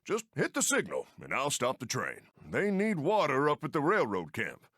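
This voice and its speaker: An actor playing a friendly Old West steam train engineer in a video game, with an American accent and folksy frontier phrasing. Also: male voice, mid-range voice, wildly swooping pitch, moderate pace, fairly bright tone, no room sound, clean studio sound. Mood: helpful, earnest. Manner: folksy, colloquial drawl of the frontier. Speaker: mission-giver game character. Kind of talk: animated video game voice acting.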